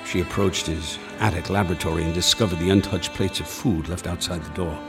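An actor playing a steady, fatherly family doctor who narrates a radio drama in a measured, formal way. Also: deep voice, close to an everyday voice. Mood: grave, measured. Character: steady, fatherly male family doctor recounting strange events. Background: prominent music.

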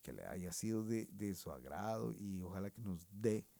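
A man's voice: sits low, animated, normal speed, gravelly, warm tone, no echo. A man doing a slangy, rambling comic monologue, laughing often. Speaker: comedian and music presenter. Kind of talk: comic monologue with put-on voices.

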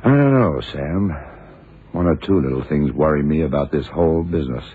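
An actor playing a shrewd, dogged FBI investigator in a radio crime drama, terse and deliberate. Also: male voice, extremely low pitch, animated. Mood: suspicious. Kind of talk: 1960s radio drama acting. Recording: muffled, noisy old radio broadcast recording.

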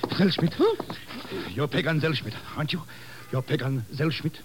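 Actor doing a desperate guy on the run, urgent pleading, highish voice, noisy old radio show. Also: lively intonation, normal speed, lightly acted. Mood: urgent, demanding. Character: desperate hunted man on the run, frantic and pleading. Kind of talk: 1950s radio drama acting.

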